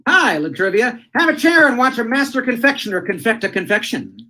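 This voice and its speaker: A man's voice: very high voice, very animated, a little fast, bright, forceful tone, heavily acted. An actor playing a blustery, touchy, folksy husband in old-time radio comedy, cocky and combative in his delivery.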